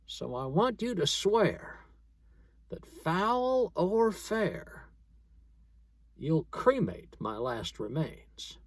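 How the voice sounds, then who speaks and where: A freezing, dying gold prospector from a narrative poem, acted out by a male reader. He pleads in a plaintive moan, pitched high and drawn out very slowly. He sounds worn down by the cold and full of dread. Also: big pitch swings, no room sound, exaggerated voice. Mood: pleading, moaning. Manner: plaintive, moaning. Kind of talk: dramatic poetry reading.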